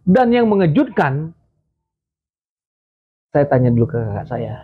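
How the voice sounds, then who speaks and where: A man's voice: fairly high pitch, sing-song, unhurried, breathy edge. A man hosting a casual political commentary show, rambling with many fillers and a slight cough. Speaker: host. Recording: tinny phone-like audio.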